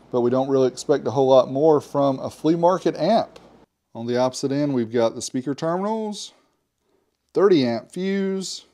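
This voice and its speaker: A man's voice: mid-range voice, mellow. A man in his forties casually unboxing and reviewing a product as host, chatty and joking, talking to himself now and then. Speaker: host reviewing car audio gear.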